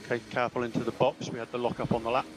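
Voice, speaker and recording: A man's voice: mid-range voice, little pitch movement, racing, background noise. A man working as a race engineer, giving clipped instructions over team radio and repeating them.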